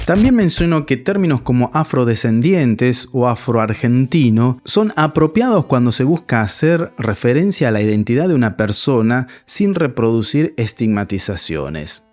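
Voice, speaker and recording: Argentine male friar, chatty commentary straight to camera, animated delivery, faint music under. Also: mid-range voice. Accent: Argentine Spanish. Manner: conversational, addresses viewer directly. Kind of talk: casual video commentary.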